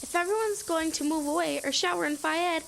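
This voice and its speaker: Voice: very high voice, a little fast, gravelly, fairly bright tone, a little hiss or hum, exaggerated voice. A young performer playing an anxious, upset young kid in an audio drama. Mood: anxious, pleading. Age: child. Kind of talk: youth audio drama acting.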